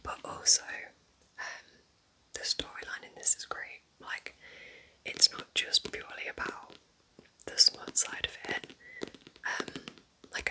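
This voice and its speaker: Whispering woman, soft ASMR book chat. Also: rough recording. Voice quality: soft, breathy, unvoiced whisper. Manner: gentle, unhurried, intimate. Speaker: ASMR creator recommending books.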